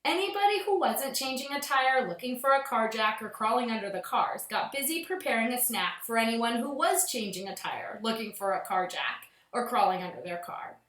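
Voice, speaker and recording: Bright-voiced woman reading to children, roomy sound. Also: a little high, animated, normal speed, few pauses. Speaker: schoolteacher reading aloud to pupils. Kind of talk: children's storybook read-aloud.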